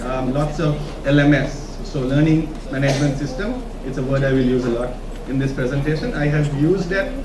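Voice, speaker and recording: A man with a Sri Lankan accent giving an informal conference talk, often checking in with his listeners with short questions. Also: on the high side. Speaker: conference speaker. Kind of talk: informal presentation to an audience. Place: conference room, talk to a live audience. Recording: echoey room from far off, noisy.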